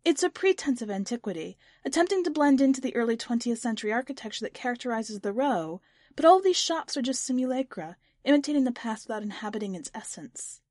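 A woman's voice: on the high side, lively intonation, quick pace, darkish tone, dry recording, studio quality. A woman reading the part of a hesitant, inventive young woman in an audiobook story, improvising earnest answers.

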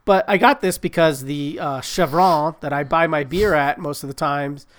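A man's voice: on the high side, normal speed, a bit bright. Stuffed-up man, rambling and profane. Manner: rambling, profane, self-mocking. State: stuffed-up nose from a cold. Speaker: podcast co-host. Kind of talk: casual chat.

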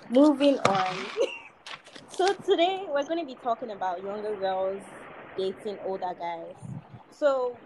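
A woman's voice: medium pitch, background noise. Playful teen woman host. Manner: playful, teasing, steers the talk. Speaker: podcast host. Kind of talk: casual group podcast chat.

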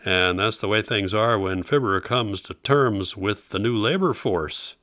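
A man hosting a vintage radio showcase with wry, measured scripted introductions. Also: fairly low pitch, bright, forceful tone, no room sound, clean studio sound. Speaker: host of old-time radio showcase.

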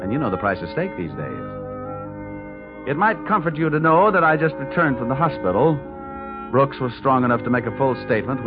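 Man voicing a wisecracking investigator, hard-boiled, dry, animated, muffled 1940s radio sound, music underneath. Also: medium pitch, natural voice. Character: wisecracking male insurance investigator, cocky. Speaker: insurance investigator and narrator. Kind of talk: 1940s radio drama acting.

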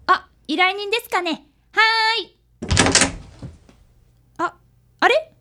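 A woman's voice: very high-pitched, slow, over loud music. A woman playing an eager, polite boy of about ten, an apprentice detective in a radio drama. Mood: helpful, earnest. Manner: polite, bright and earnest. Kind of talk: audio drama acting.

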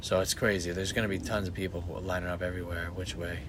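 Low-voiced man, rambling casual chat. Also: little pitch movement, fast talker. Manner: rambling, profane, restarts often. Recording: background noise.

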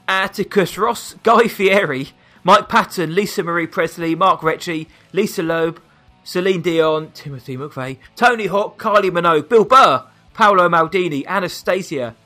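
A man co-hosting a casual film podcast, with a squeaky voice, chatty and quick to laugh at himself as he reads through lists. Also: bright, forceful tone, faint music behind. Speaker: podcast co-host.

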